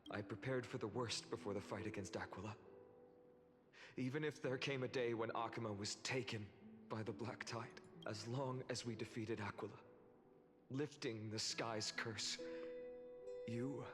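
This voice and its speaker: An actor voicing an earnest young male leader in a video game, speaking in a sincere, measured way. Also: medium pitch, steady tone, lightly acted. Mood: heartfelt. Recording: game audio with music and reverb.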